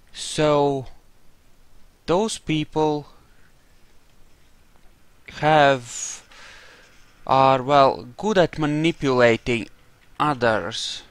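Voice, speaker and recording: A man giving casual role-playing game commentary, haltingly thinking aloud. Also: medium pitch, clean tone, no echo. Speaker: gameplay narrator.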